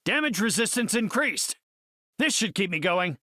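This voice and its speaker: An actor playing an earnest middle-aged scientist superhero in a video game, speaking in an articulate, cerebral, heroic way. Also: male voice, high-pitched, big pitch swings, moderate pace, sharp tone, no room sound, studio quality, full character voice. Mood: triumphant, energetic. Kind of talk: video game hero voice lines.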